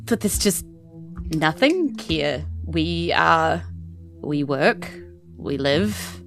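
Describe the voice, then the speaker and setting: A woman's voice: sits low, expressive, extremely slow, soft background music, heavily acted. A woman voicing a timid, simple forty-year-old cloud man who speaks in a hesitant, stammering, fatalistic way in a tabletop game. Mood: bleak, resigned.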